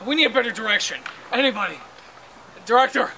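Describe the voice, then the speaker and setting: High-pitched gravelly young man, noisy recording. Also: lively intonation, fast talker, pushed and bright. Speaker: improv comedy performer. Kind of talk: improvised comedy sketch and casual chat. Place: outdoors on a park lawn. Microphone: camera a few meters away. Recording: noisy outdoor camera audio.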